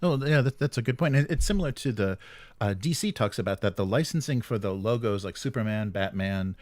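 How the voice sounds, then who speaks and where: A man's voice: on the low side, lively intonation, brisk, a little mellow, no echo. A man co-hosting a live stream, chatting casually about gaming news and often chuckling as he talks.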